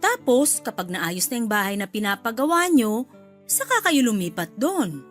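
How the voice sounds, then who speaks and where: Motherly, insistent middle-aged woman, fairly high-voiced. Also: sing-song, moderate pace, faint music behind. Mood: coaxing, insistent. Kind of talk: Filipino radio drama dialogue.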